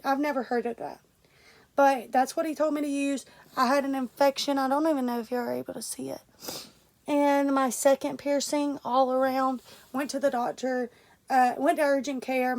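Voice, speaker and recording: A woman's voice: high voice, darkish tone. A young woman, congested and stuffy, casually talking through an unboxing with lots of fillers and restarts. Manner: rambling, many fillers and restarts. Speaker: vlogger.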